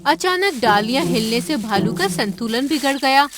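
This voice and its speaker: A woman narrating a children's cartoon story in a deliberate storytelling manner. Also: high voice, animated. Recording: narration over loud music.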